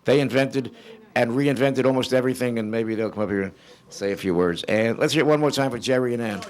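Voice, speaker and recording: A man hosting a live panel, introducing guests in an effusive, somewhat halting way. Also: medium pitch, very noisy.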